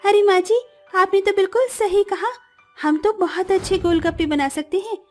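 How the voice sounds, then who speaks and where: A woman voicing excitable, bossy women of a family in a cartoon comedy, emphatic and exclaiming. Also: extremely high pitch, moderate pace, faint music behind. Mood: excited. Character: bossy, excitable mother-in-law and daughter-in-law obsessed with street snacks.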